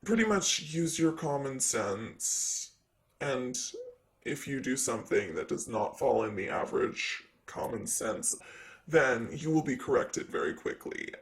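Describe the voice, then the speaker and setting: A man's voice: medium pitch, very animated, unhurried. A man voicing a sassy, jaded demon secretary of hell in an improvised ASMR roleplay, chatty and sarcastic with breezy, rambling delivery. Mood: chatty, smug. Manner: sarcastic, breezy, rambling with casual filler words.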